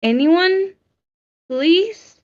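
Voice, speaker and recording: A woman's voice: very high voice, animated, extremely slow, heavily acted. A woman acting an exasperated woman pleading with a bickering group in a screenplay table read, over muffled online meeting audio.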